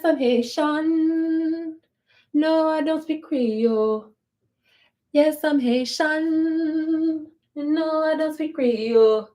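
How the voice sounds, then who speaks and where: A woman's voice: high-pitched, warm tone. Brief: woman livestreamer, casual, blunt, swears freely, repeats lines, phone mic at home. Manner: blunt, profane, chatty, repeats herself. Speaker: livestream vlogger. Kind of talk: casual chat while doing her hair.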